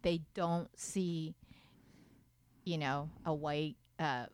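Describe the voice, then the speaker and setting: A woman's voice: low voice, steady tone, extremely slow, a little mellow, clean tone. A young woman whose first language is Japanese, a podcast guest telling stories in a playful, chatty way with many fillers and restarts. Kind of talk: casual interview chat.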